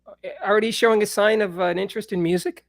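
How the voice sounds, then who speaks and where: A man with a high speaking voice in a casual, playful, teasing chat as he hosts another musician. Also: steady tone, very fast, vocal fry, no room sound, studio quality.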